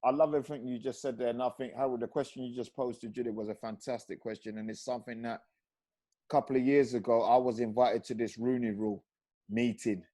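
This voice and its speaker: A man holds forth on a video-call panel. His voice is mellow and dark in tone. He grows more impassioned as he goes, pushing hard on key words. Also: mid-range voice, steady tone, a little fast. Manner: impassioned, rising to loud emphatic peaks. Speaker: panel guest, former professional footballer. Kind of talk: passionate panel discussion.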